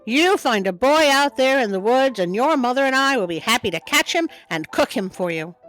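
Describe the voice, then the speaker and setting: A woman's voice: fairly high pitch, expressive, moderate pace, fairly bright tone, faint music behind, pitched above the performer's own voice, rough recording. A woman voicing a patient but firm father wolf in a storybook, reasoning in a fatherly way. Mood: indulgent.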